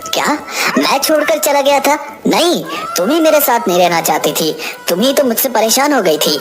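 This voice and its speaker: A woman's voice: on the high side, lively intonation, moderate pace, over music, lightly acted. A woman voicing a skeptical grown son. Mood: indignant. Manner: skeptical, then indignant. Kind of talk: animated storybook voice acting.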